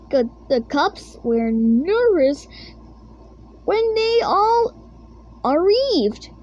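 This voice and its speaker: A female reader reading a children's storybook aloud, haltingly, stumbling and going back over words. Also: high voice, lo-fi sound.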